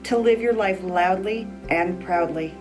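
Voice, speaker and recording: A woman's voice: fairly low pitch, a little slow, music in the background, rough recording. Proud woman giving a promo message. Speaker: photographer and wedding officiant.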